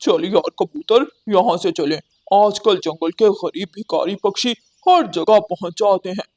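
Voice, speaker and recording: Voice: mid-range voice, unhurried, a little mellow, lightly acted. An actor voicing a callous male crow in a cartoon, dismissive and scornful. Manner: dismissive, sneering.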